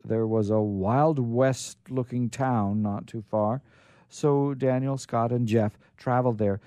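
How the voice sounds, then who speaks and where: A man hosting a radio show, reading stories aloud in an informal, halting but theatrical way. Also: mid-range voice, steady tone, dark tone, dry recording. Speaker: radio show host and storyteller.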